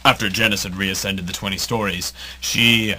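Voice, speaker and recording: A man's voice: fairly low pitch, steady tone, moderate pace, pushed and bright, slight background noise. A man narrating an amateur comic superhero drama in a melodramatic storytelling style.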